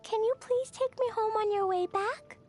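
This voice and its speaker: A woman's voice: very high voice, moderate pace, a little mellow, faint music behind. A woman voicing an eager, mischievous little girl knight with a childlike, cutesy manner in a video game. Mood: sheepish. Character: hyperactive, mischievous little girl knight who loves bombs. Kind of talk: video game character voice lines.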